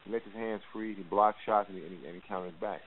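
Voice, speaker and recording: A man's voice: low-pitched, steady tone, fast. A man, a boxing coach answering press questions with emphatic, repetitive phrasing. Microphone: phone call. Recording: hissy, narrowband phone conference line.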